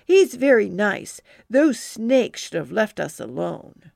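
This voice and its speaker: Defiant little girl, emphatic, gravelly edge, woman narrator voicing her. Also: mid-range voice, drawn-out words, dry recording, studio quality, exaggerated voice. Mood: spirited. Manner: excited and emphatic. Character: bold young girl storyteller, defiant.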